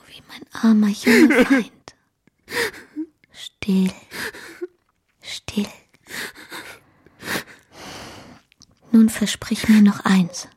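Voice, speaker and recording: A young wife on her deathbed in a radio drama. Her voice is barely there, all breath and whisper, and she speaks slowly, tender and resigned to her fate. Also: mid-range voice, husky. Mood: gently insistent. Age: young adult. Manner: faint, slow, gentle. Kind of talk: German radio play acting.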